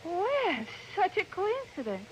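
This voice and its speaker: A woman's voice: very high-pitched, huge pitch swings, slow pace, heavily acted. A woman acting as a poised, polite young Swiss policewoman in an old radio drama, speaking in a formal manner. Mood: friendly. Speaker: visiting policewoman. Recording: noisy old-time radio recording.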